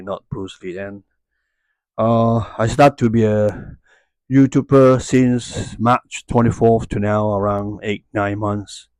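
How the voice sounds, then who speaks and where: A man's voice: fairly low pitch, darkish tone, dry recording. A man in his fifties from Hong Kong, speaking Hong Kong English and raised speaking Cantonese, talks to camera in a rambling way, with many fillers and restarts. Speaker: YouTuber. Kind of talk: casual monologue to camera.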